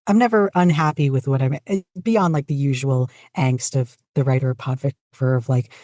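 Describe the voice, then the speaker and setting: Soft dark mellow voice, medium pitch, hesitant guest in casual podcast chat, very dry sound. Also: moderate pace, dry recording. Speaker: podcast guest, fan fiction writer and audio narrator.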